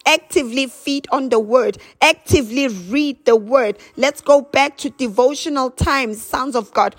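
A woman's voice: high voice, unhurried. A woman preacher delivering a charismatic prayer sermon, exhorting with repeated emphatic phrases and bursts of chanted glossolalia.

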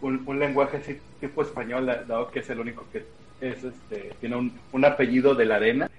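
A man narrating and explaining rules as game master of a tabletop game, relaxed, with many fillers. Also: medium pitch, fairly quick, poor audio.

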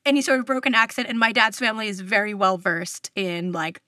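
Bright-voiced laughing woman guest. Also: medium pitch, brisk, no room sound, clean studio sound. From New York, US East Coast. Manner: chatty, laughs often mid-sentence, many fillers. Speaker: public radio journalist, guest. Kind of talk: casual conversational interview.

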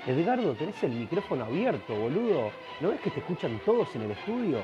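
A man's voice: on the high side, very animated, brisk, highs cut off, music in the background. An actor with an Argentine Rioplatense accent playing a pompous, easily exasperated film expert who hosts a comedic radio show, switching between formal and slangy. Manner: formal presenting that slips into slangy grumbling.

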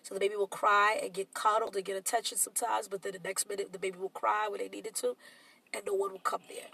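Casting: congested, nasal woman co-host with a bright, gravelly edge, reflective and talkative, a little off-mic. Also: mid-range voice, flat delivery, fast, far from the mic. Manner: reflective, explains at length. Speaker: podcast co-host. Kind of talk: casual chat.

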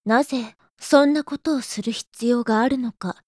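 Audio drama little sister, acted by a woman: high-pitched, formal and exasperated, a lecturing know-it-all, recorded close and dry. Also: normal speed, a little mellow, no room sound, natural voice. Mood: lecturing, skeptical. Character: prim, scolding know-it-all little sister of hapless brother. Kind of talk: Japanese audio drama acting.

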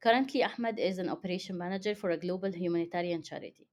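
A woman's voice: fairly low pitch, quick pace, darkish tone. A woman hosting a podcast, speaking in a conversational interview style. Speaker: podcast host.

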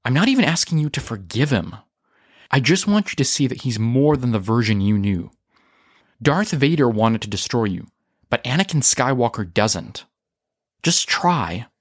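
A man's voice: medium pitch, sing-song, normal speed, slightly rough, dry recording, clean studio sound. Man reading as an earnest, conciliatory young brother. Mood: earnest pleading.

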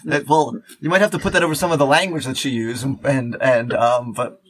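A man co-hosting a podcast in casual banter, joking and rambling in a halting way. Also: medium pitch, steady tone, fairly bright tone. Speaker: podcast co-host.

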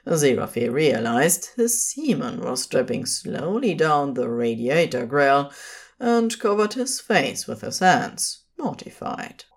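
Casting: female narrator for fiction, slow delivery with lively, varied intonation. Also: low-pitched, breathy edge.

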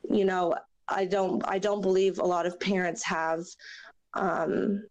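Raspy, hoarse young woman, earnest. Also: lowish voice, flat delivery, a little mellow. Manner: halting and earnest, many fillers, near tears. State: hoarse from a chest cold. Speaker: interview guest. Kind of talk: personal testimony in a podcast interview.

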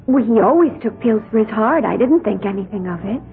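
A woman's voice: medium pitch, animated, fairly quick, natural voice. An actress playing an odd young woman who speaks in a placid, matter-of-fact, faintly dreamy way, in a 1970s radio drama. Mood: calm. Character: serene, odd young woman with a strange secret. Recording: thin, phone-like old radio recording.

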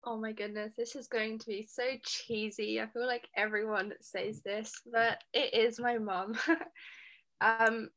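A woman's voice: on the high side, measured pace. Chatty woman talking about her mum. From Indian background. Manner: chatty, animated, fillers, growing emphatic. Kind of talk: casual personal talk.

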